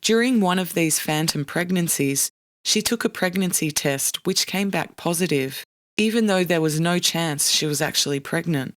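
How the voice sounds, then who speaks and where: A podcast narrator reading a scripted documentary narration in a measured, serious way. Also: medium pitch, fairly bright tone, dry recording. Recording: dry studio voice with faint music.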